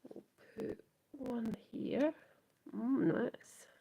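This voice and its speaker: Slow, gravelly Scottish woman crafting live. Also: on the high side, slight background noise. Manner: soft, often trailing into whispers while working. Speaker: craft livestream host. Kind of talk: live crafting demo, chatting to viewers.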